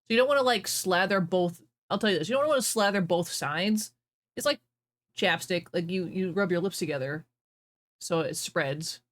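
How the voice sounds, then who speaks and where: A woman's voice: lowish voice, expressive, normal speed. A woman streamer chatting casually, giggly and playfully instructive as she talks. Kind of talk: casual chat.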